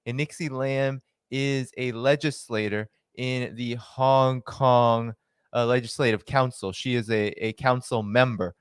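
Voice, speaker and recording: Chatty male livestream host, smooth dry voice. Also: medium pitch, no echo. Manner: chatty, greets viewers by name, many fillers. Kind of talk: casual livestream chat.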